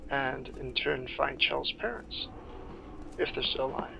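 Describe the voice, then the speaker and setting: A man doing casual video game playthrough commentary, halting as he thinks aloud. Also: medium pitch, vocal fry, lo-fi sound. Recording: noisy amateur game commentary recording.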